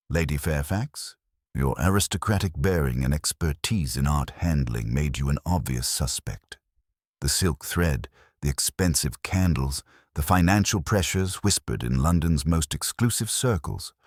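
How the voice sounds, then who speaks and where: A man playing a shrewd, courteous Belgian detective in an audiobook, speaking in formal, measured, theatrical deductions. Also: rumbling low, dry recording, clean studio sound, exaggerated voice.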